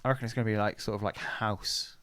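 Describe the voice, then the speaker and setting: A man co-hosting a music podcast, laid-back, trailing off and laughing loudly. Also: on the low side, fairly even intonation, fast, no echo. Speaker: podcast co-host. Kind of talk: casual chat reviewing submitted music.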